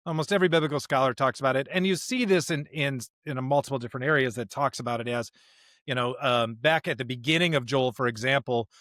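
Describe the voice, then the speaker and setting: A man with an American accent hosting a podcast, talking reflectively about scripture and current events in a halting, searching way. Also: on the high side, a bit bright, no echo, clean studio sound. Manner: halting, searching delivery with repeated words. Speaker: podcast host.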